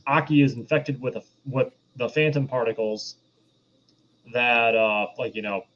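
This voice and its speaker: A male podcast co-host explaining things in casual film discussion, rephrasing haltingly as he goes. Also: medium pitch, fairly even intonation, a bit bright. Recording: dull lo-fi mic, faint noise.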